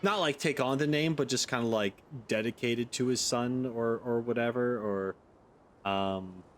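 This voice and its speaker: A man's voice: mid-range voice, normal speed, slight background noise. A man hosting a gaming video, giving casual playthrough commentary and rambling as he thinks aloud.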